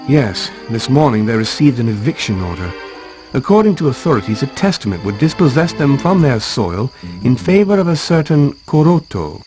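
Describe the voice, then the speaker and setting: A man voices a suave swashbuckling video game hero. He is confident and gallant, speaking tersely with teasing charm and plenty of melodic movement at a medium pitch. Music is clearly audible underneath. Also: sing-song, normal speed, darkish tone, close to an everyday voice. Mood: purposeful. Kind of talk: scripted video game cutscene acting.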